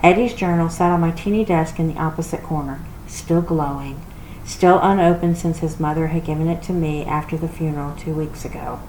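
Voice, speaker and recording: Woman, lower-pitched voice, children's read-aloud, expressive storytelling, echoey room, background noise. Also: a little fast. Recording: roomy echo with clear background noise.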